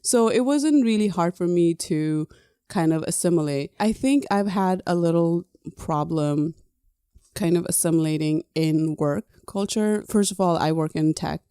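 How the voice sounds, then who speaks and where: Woman podcast host from Nepal, native Nepali speaker, conversational, clean smooth tone, very dry recording. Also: on the low side, unhurried, warm tone, no echo. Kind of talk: casual conversational podcast talk.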